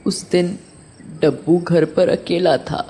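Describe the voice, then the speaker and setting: A woman playing a remorseful older caretaker, halting and sorrowful in an audio horror drama. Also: fairly low pitch, fairly even intonation, dark tone, a little hiss or hum. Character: remorseful older female caretaker confessing a terrible mistake.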